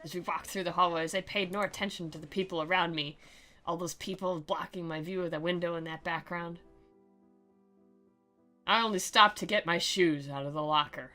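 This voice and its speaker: Woman, bright forceful voice, slightly low, sarcastic game commentary, dramatic reading, light music behind. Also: steady tone, moderate pace.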